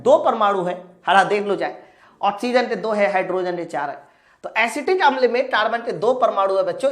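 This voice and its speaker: A woman teaching an exam-prep class, posing questions, answering them herself and repeating key points. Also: on the high side. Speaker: science teacher. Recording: echoey room sound.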